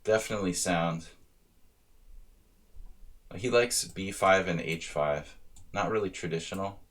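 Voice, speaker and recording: Deep-voiced man in his thirties streaming chess. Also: measured pace, a bit bright. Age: 30s. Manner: joking, thinking aloud about moves. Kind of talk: casual live-stream commentary while playing.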